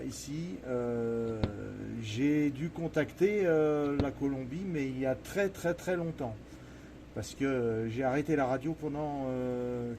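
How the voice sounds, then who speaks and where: A man in a casual amateur radio contact, halting and repeating himself as he searches for words. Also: mid-range voice, dark tone, slight background noise. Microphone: stage vocal microphone at radio station.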